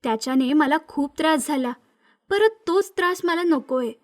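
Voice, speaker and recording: Cartoon wife and mother, female narrator acting: concerned, protective, high-pitched. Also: lively intonation, moderate pace. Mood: anxious, persuading. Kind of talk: animated moral story dialogue.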